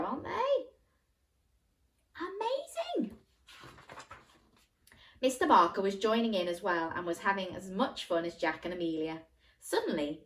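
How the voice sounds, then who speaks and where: Woman reading playfully to young children. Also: lowish voice. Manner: animated, playful asides with questions to the listener. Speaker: children's storyteller. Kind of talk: interactive bedtime story reading for young children.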